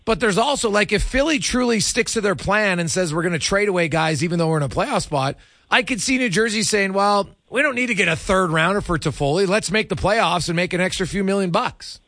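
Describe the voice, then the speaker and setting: Higher-pitched man talking sports. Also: expressive, brisk, sharp tone, dry recording, studio quality. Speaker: radio sports show host. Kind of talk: casual sports discussion.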